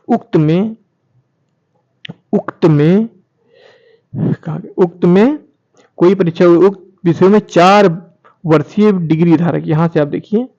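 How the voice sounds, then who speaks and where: A man's voice: fairly high pitch, warm tone. A man hosting an informal online explainer, reading documents aloud and repeating key phrases as he addresses viewers directly, on a muffled recording. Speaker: YouTube channel host presenting documents. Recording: dull, muffled lo-fi sound.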